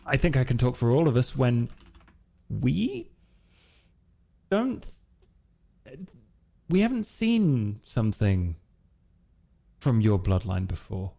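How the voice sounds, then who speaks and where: Guy playing a curious hesitant vampire in an rpg, mellow and gravelly, takes his time. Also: lowish voice, exaggerated voice. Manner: hesitant, earnest, meandering. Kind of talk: in-character tabletop roleplay.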